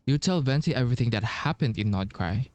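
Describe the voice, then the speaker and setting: A man's voice: medium pitch, animated, fast talker, darkish tone. A young man giving casual, laid-back playthrough commentary as a gaming streamer, with muttered asides. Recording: game music in background. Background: game music.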